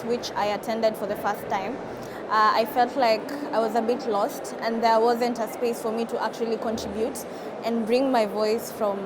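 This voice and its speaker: A woman in her twenties with a Kenyan accent, giving earnest, explanatory answers as an interview guest. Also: on the high side. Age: 20s. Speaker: climate activist and podcaster guest. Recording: clear vehicle and ambient noise behind.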